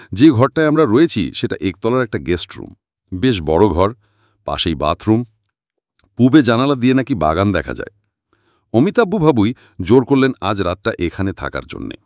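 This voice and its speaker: A man narrating a scripted story as an audiobook reader, dramatic and expressive. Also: lowish voice, moderate pace, no room sound, studio quality.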